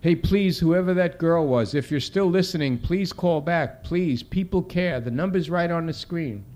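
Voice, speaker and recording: A man playing a gentle, earnest late-night television call-in host, solicitous and imploring. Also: medium pitch, fast, natural voice. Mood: pleading.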